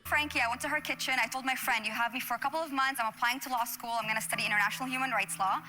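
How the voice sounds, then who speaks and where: A woman from Syria pitching her business to investors, polished and earnest. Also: fairly even intonation, racing, bright, forceful tone, rough recording. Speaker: entrepreneur pitching. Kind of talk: scripted pitch then Q&A. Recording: TV show clip with music under.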